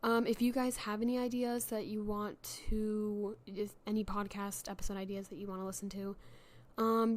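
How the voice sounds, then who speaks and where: A woman's voice: mid-range voice, a little mellow. A young woman hosting a casual solo podcast, chatty and self-deprecating, with many fillers and restarts, her voice worn from being sick. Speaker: podcast host.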